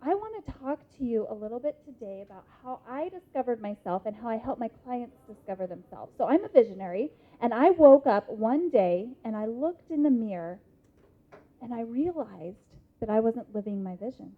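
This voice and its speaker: A woman in her thirties with an American accent gives an interactive motivational talk in a conference hall, speaking emphatically with deliberate pauses. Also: on the high side, animated. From Idaho, United States. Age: 30s. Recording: muffled lo-fi live hall recording.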